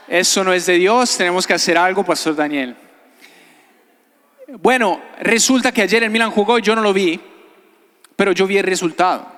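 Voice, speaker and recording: His voice sits high for a man and rings through a long hall reverb. He is a pastor, delivering a sermon in a conversational way. He repeats key phrases and keeps putting short questions to his listeners. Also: fairly bright tone. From Italy. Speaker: pastor, guest preacher. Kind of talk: sermon to a live congregation. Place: large church hall before a congregation. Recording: reverberant hall, amplified preaching.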